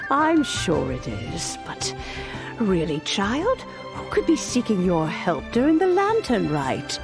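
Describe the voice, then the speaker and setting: An actress voicing a gentle, teasing old granny in a video game, speaking fondly and without hurry. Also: female voice, medium pitch, huge pitch swings, over music, heavily acted. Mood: fond, chiding. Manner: unhurried, fond, gently teasing.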